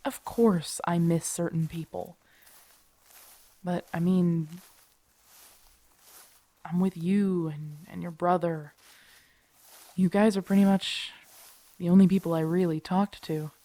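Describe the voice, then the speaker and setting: A woman's voice: on the low side, fairly even intonation, slow pace, long pauses, dark tone, no echo. A woman acting in an audio roleplay as a grumpy, protective zombie apocalypse survivor, blunt and sardonic, talking intimately to her companion. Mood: wistful, animated.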